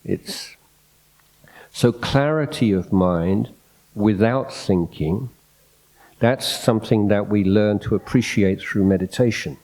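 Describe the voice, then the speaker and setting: Soft-spoken monk with a low, mellow voice. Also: male voice, takes it slowly, poor audio. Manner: reflective, hesitant, with many fillers and restarts. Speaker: Buddhist monk teacher. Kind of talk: unscripted dhamma talk. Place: hall. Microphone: microphone in a hall.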